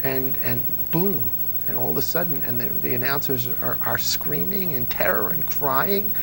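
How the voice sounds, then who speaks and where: Gravelly-voiced man telling eager stories. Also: medium pitch, normal speed, over music, rough recording. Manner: enthusiastic storyteller, restarts often. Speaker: interview host. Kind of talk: casual nostalgic interview chat.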